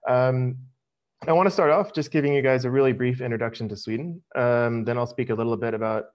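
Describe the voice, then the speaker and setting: A middle-aged American man giving a casual webinar presentation over a video call, relaxed and full of ums. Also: medium pitch, fairly quick, lo-fi sound. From United States. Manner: relaxed, many ums, friendly. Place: home office, video call. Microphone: headset.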